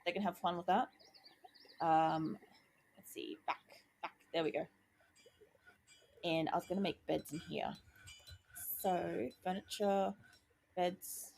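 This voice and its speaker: A woman playing a video game and chatting as she works it out, excited by each small success, her voice going croaky. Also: lowish voice, slow, pauses often, a little hiss or hum. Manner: chatty, thinking aloud, easily excited. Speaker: gaming YouTuber. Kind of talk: casual let's-play commentary.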